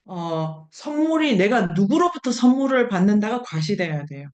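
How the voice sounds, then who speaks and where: A woman's voice: medium pitch, big pitch swings, normal speed, darkish tone, reverberant. A woman lecturing informally to a seminar group, thinking aloud, posing questions and repeating key words for emphasis. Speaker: lecturer leading an online book seminar.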